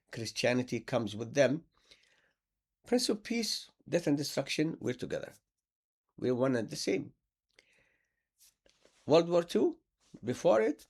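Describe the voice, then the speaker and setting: Male preacher, gravelly and animated, sarcastic and repetitive. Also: on the high side. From Palestine. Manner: sarcastic, repeats words for emphasis. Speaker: Islamic preacher. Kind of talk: impassioned monologue.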